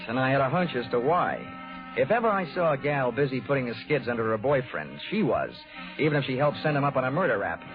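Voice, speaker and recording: A man's voice: medium pitch, natural voice. Man playing a tinny old-radio wisecracking detective. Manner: wry, dry, needling. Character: wisecracking, cynical hard-boiled male insurance investigator. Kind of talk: 1940s radio detective acting and narration. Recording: tinny, narrow-band 1940s radio recording, music.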